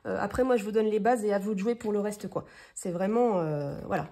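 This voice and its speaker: A woman from France hosts a relaxed craft tutorial while nursing a head cold. Her voice is mellow and a little husky, and she rambles along with plenty of fillers as she works. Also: medium pitch, a little fast. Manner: chatty, rambling, lots of fillers. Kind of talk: casual hands-on craft tutorial.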